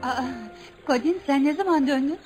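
A woman's voice: sits high, normal speed, darkish tone, soft background music, natural voice. An actress playing a bored, evasive young woman in a hesitant, dramatic radio theatre style. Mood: surprised, evasive. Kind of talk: Turkish radio theatre acting.

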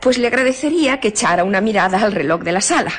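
A woman's voice: medium pitch, expressive, fast talker, a little hiss or hum, close to an everyday voice. Actress as fretful, nosy village innkeeper woman. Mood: polite. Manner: deferential aloud, muttering breathily to herself. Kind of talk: radio drama acting.

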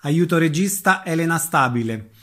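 Higher-voiced male radio announcer. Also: lively intonation, moderate pace, sharp tone. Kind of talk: scripted credits announcement.

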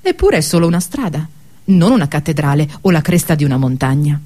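Female narrator for literary radio drama, lowish register, measured and deliberate delivery of scripted prose. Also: animated, dark tone. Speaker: radio theatre narrator and reader. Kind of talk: scripted literary narration of a fictional travel tale.

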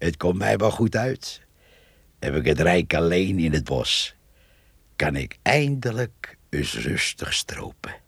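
Deep-voiced, scornful country poacher. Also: male voice, sing-song, slow pace, vocal fry, slightly rough, no room sound, exaggerated voice. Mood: smugly content. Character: gloating rural male poacher, grumbling and scornful. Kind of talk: radio drama monologue.

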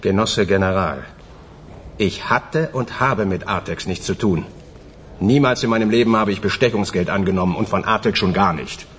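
An actor in a radio play voicing an accused police officer, formal, deferential and defensive, with a husky voice. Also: male voice, sits low, moderate pace, a bit bright, a little hiss or hum. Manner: formal, protesting.

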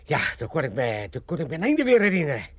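A man's voice: on the high side, huge pitch swings, fast, no room sound. An actor playing a wily, self-pitying old father in a radio comedy, boastful and wheedling, with an Amsterdam accent. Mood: boastful, rambling. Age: older. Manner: theatrical grumbling and coaxing. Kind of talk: 1980s comedy radio drama acting.

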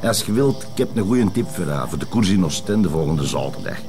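An actor playing a cocky, cheeky small-time thug around thirty with a Flemish accent in a TV drama. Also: male voice, low voice, sing-song, fairly quick. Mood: cocky, teasing. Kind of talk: naturalistic TV drama dialogue.

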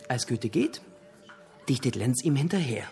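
An actor playing a talkative literature buff in a radio drama, lecturing theatrically in pithy, punchy fragments. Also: male voice, mid-range voice, big pitch swings, unhurried, a little mellow, faint music behind. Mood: relishing, theatrical. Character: talkative literature buff holding forth in a pub.

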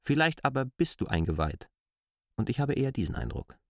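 An actor playing an earnest, brooding stranger in a radio play, speaking in a deliberate, formal, argumentative way. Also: male voice, medium pitch, very animated, darkish tone, lightly acted. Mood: measured, probing. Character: earnest adult male stranger, insistent and brooding. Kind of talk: literary radio play dialogue.

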